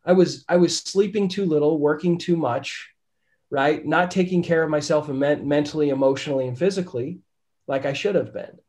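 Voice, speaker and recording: A man, a real estate investor and podcast guest, telling his story candidly in a casual interview, his voice raspy from illness. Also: a little high, fairly quick, a little mellow. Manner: candid, says "right?" often.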